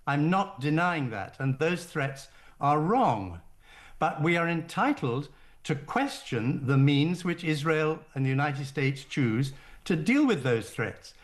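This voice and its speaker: A man with a fairly high voice argues his case on a political talk show. He speaks deliberately, with frequent stops and restarts mid-sentence. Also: very animated. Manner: deliberate, halting, many restarts. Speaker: caller guest. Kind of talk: political argument on air.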